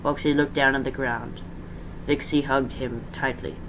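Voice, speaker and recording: Casting: amateur story narrator, middle-pitched, informal, prone to stumbles and self-corrections, slightly noisy recording. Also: steady tone, measured pace. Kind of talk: amateur dramatic story reading. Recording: faint background noise.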